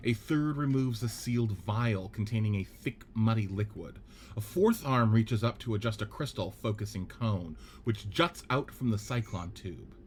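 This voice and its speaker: A man hosting a podcast, reading the stage directions of a script in a steady, explanatory way. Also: lowish voice, slight background noise. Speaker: podcast host and narrator.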